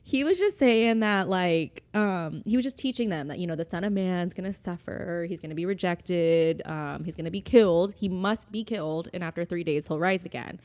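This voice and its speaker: A woman's voice: fairly low pitch, moderate pace, few pauses, a little mellow. A woman podcast co-host talking casually about faith, informal and a little tentative, often saying "like" and "you know". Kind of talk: casual conversational discussion of faith.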